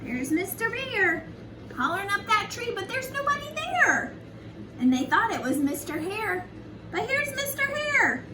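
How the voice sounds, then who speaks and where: A woman reading a picture book to young children like a preschool teacher, in an animated, sing-song, encouraging way with simple questions to her listeners. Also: very high voice, measured pace. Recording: echoey room, distant mic, noisy background.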